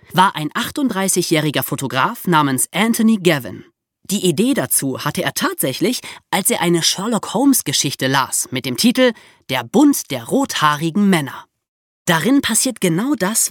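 A woman co-hosting a podcast, explaining true crime in a lively storytelling way with rhetorical questions. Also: deep voice, no room sound, clean studio sound. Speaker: podcast co-host.

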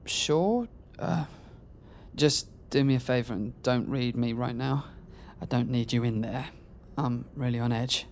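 A man's voice: medium pitch, a little slow, lightly acted. An actor plays an anxious young man, needy and lovesick. He speaks in a jittery, earnest way full of hesitation, as audio drama with faint ambient noise behind him. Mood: nervous, edgy.

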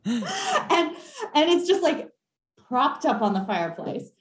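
A young woman telling a ghost story on a podcast call, animated and laughing, with lots of fillers. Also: sits high, slow pace, a little hiss or hum. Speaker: caller. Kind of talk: casual storytelling. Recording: echoey room.

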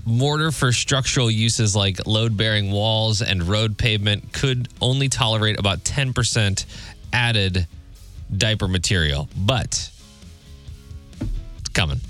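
Guy radio host joking around, bright lively voice, music under him. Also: fairly low pitch, a little slow. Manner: jokey, conversational. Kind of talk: casual radio banter and quirky news.

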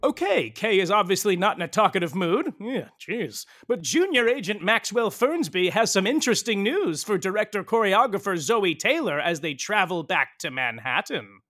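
A wry gossip narrator in an audio comedy. His high voice bounces up and down expressively as he chats archly. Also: very animated, normal speed, few pauses, a bit bright, heavily acted.

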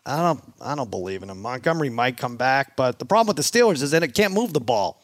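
A man's voice: medium pitch, big pitch swings, fast, no echo. Dry-sounding man hosting sports talk. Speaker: sports show host. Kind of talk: casual sports betting discussion.

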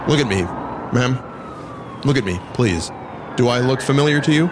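A man plays a police officer in a realistic audio drama, concerned and steady. His speech is plain and a little halting, at a middling pitch. Cars and outdoor city noise are clearly heard behind him. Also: lightly acted. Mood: insistent, calm. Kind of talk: naturalistic audio drama acting. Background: cars and urban outdoor ambience.

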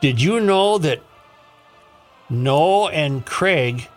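A man hosting a radio show, reading aloud and chatting in a deliberate, picky way, spelling things out. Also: fairly high pitch, expressive, soft background music.

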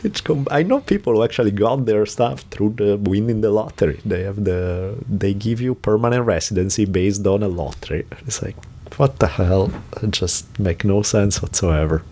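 A man's voice: fairly low pitch, normal speed, mellow. A man with an Italian accent hosting a podcast, telling a story in a casual, laughing way. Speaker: podcast host.

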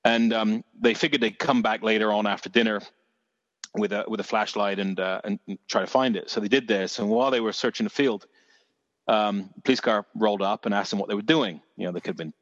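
Dorset guy, low-ish voice, rambling with lots of restarts, kinda muffled and echoey. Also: fairly even intonation, fairly quick. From England. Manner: rambling storyteller, many restarts, mild swearing. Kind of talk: casual chat.

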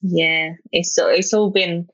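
A woman's voice: fairly low pitch, steady tone, takes it slowly, a bit bright, no echo, lo-fi sound. A young woman with a South East London accent, chatting casually as an interview guest, full of fillers and restarts. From England.

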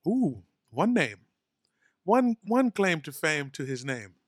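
Higher-pitched man riffing as podcast co-host. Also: big pitch swings, slow. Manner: playful, riffing. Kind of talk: casual comedic banter.

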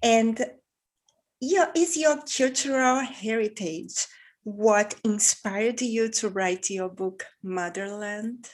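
A woman's voice: mid-range voice, slow. A woman hosting an interview, asking careful questions with some hesitations. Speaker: interviewer host.

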